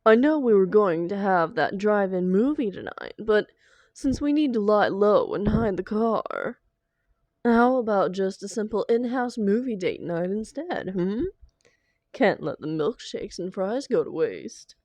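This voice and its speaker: A woman's voice: medium pitch, lively intonation, unhurried, darkish tone, no echo, lightly acted. A woman playing a theatrical female supervillain and doting, grumbling lover in a scripted audio roleplay. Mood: tender. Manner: theatrical, affectionate pet names, exasperated protests.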